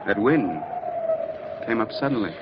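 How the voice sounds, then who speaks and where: An old radio horror recording, muffled and clearly noisy. a gloomy, frightened fellow whose pitch swings widely. He comes across as fretful and haunted. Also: lively intonation, measured pace. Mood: distracted, uneasy. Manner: fearful, fretful. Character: jittery, frightened male friend, gloomy and haunted. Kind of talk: old-time radio horror drama acting.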